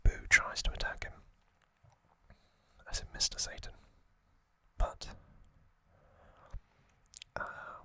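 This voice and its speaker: Slow, hushed whispering ASMR man. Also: no room sound. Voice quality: breathy, unvoiced whisper. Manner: slow, hushed, deliberate, with long pauses between short phrases. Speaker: host of an ASMR trivia channel. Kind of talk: ASMR whispered storytelling, loosely scripted recap.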